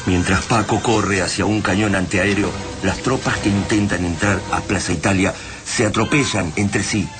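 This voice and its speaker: Male Argentine narrator, low-pitched, dramatic radio drama storytelling, prominent music underneath. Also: fairly even intonation, normal speed.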